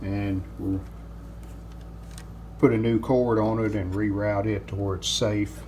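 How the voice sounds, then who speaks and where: An older man giving a hands-on repair walkthrough in plain-spoken, halting phrases. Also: fairly low pitch, little pitch movement, darkish tone, a little hiss or hum.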